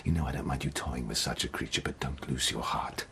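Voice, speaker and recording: A man playing a suspicious, jealous aristocratic lord in a radio drama, speaking in a husky, haughty, insinuating way. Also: very deep voice, steady tone, fairly quick, fairly bright tone, heavily acted. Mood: jealous, mocking. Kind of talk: 2000s radio drama acting.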